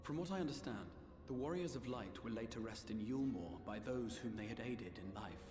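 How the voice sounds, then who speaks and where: An actor playing a composed, analytical scholar-adventurer in a fantasy game, with formal, articulate speech. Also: male voice, medium pitch, moderate pace, lightly acted. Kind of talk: scripted fantasy game voice acting. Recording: echoey hall reverb, game music behind.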